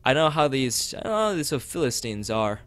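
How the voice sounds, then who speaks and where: A man voicing an opinionated, flattering gallery owner in a visual novel, gushing and full of rhetorical questions. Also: mid-range voice, normal speed. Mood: haughty. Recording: music behind the voice.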